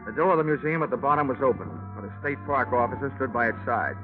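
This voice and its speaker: A man's voice: medium pitch, fast talker, close to an everyday voice. Man acting wry american private investigator, old radio show, muffled thin sound w music behind. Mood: wry narrating. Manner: wry, slangy, deadpan. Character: wisecracking hard-boiled male insurance investigator. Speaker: lead actor and narrator.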